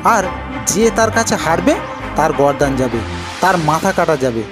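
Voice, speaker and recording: A woman voicing a matter-of-fact local in a cartoon folk tale, gravely explaining things. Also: low voice, animated, normal speed, music in the background. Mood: informative.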